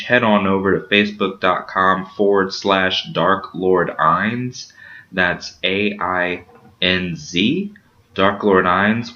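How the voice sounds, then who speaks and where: Male podcast host, very low and bright-edged, loose chatty delivery, dull lo-fi recording. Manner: rambling, filler-heavy promo reads. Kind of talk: casual podcast chat. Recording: dull lo-fi sound.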